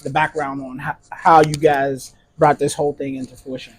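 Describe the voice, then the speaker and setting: Casting: relaxed male host with a slightly high voice and a casual, filler-heavy delivery. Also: moderate pace, slight background noise. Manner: laid-back, laughs often, lots of fillers. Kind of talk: casual interview hosting.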